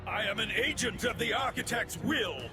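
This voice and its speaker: A man's voice: sits high, moderate pace, music in the background, natural voice. An actor playing a pompous, fanatical male game villain with a god complex, declaiming grandly. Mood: grandiose, raving. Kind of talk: dubbed video game cutscene acting.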